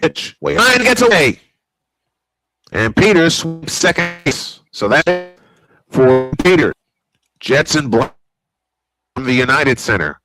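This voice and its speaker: An older American man calling a baseball game in classic broadcast style, his voice bright and forward. He rattles off counts and pitches steadily and lifts sharply when a play gets close. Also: a little slow, long pauses, no echo, soft background music, poor audio. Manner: old-school broadcast style, rising excitement on big plays. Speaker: play-by-play announcer.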